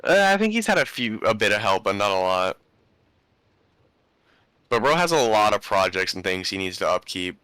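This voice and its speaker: A man's voice: lowish voice, sing-song, normal speed, pauses often, a bit bright, clean tone, dry recording. A young man hosting a podcast, telling stories in a laid-back, rambling way with slang. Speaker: podcast host. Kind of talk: casual chat.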